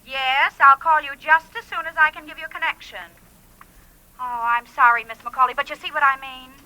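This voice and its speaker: Shrill, flustered switchboard operator. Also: steady tone, moderate pace, bright, forceful tone, dry recording, rough recording. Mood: chatty, flustered. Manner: chatty, harried. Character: flustered, gossipy small-town telephone operator woman. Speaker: actress in a radio thriller. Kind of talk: 1940s radio acting.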